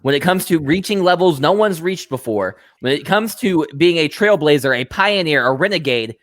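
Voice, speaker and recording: Hoarse male co-host, heated and profane, lively swings in pitch, dry close sound. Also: moderate pace, dry recording. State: losing his voice from yelling. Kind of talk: casual chat among friends.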